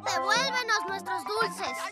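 A plucky little boy bunny superhero talks bold and eager in a dubbed cartoon. The voice sits very high. Loud cheerful music fills the background. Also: slow pace. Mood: determined. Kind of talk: Latin American Spanish cartoon dub. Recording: studio dub over loud music.

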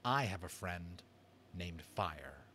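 Man acting out lone stranded boy, desperate and awestruck, wildly varying pitch, halting, repetitive, intense, audiobook character. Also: expressive, pushed and bright, breathy edge, full character voice. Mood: quiet wonder. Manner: intense, halting, repeating himself. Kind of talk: dramatic audiobook character reading.

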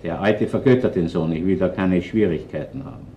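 An actor playing a gruff, shrewd middle-aged Viennese chief inspector in a radio crime drama, speaking bluntly and colloquially. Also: male voice, sits low, fairly quick, dark tone, dull audio, slight background noise, lightly acted. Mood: brisk, commanding. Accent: Viennese Austrian. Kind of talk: German-language radio crime drama acting.

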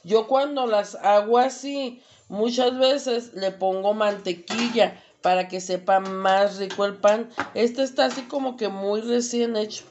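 A woman's voice: mid-range voice, steady tone, darkish tone, dull audio, slight background noise. A woman with a Mexican accent, chatting casually through a cooking demonstration in her home kitchen. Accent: Mexican Spanish. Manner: slow, chatty, many fillers. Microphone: camera across the stove.

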